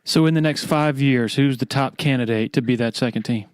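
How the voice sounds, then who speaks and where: A male podcast host puts casual sports questions to a guest. His voice is smooth and clear, sitting at a middling pitch. The recording is dry, with no room sound. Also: fairly quick, dry recording.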